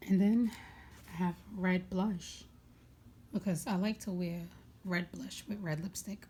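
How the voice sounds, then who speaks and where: A young woman with a raspy voice chatting casually at home, rambling with many fillers as she goes through her makeup bag. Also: fairly low pitch, steady tone, measured pace, mellow, slight background noise. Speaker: beauty vlogger.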